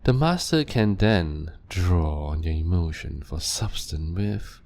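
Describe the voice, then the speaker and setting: A man voicing a calm, sadistic male vampire doctor who talks slowly and silkily, with soft laughs. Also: low voice, full character voice. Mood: toying. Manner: slow, silky, toying, with soft laughs. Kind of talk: audio drama roleplay.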